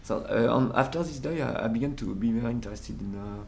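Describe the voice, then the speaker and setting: French-accented male guest, gravelly, halting, faint noise behind. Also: medium pitch, darkish tone. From France. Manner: halting, searching for words, frequent restarts and fillers. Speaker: performer and interview guest. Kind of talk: casual interview.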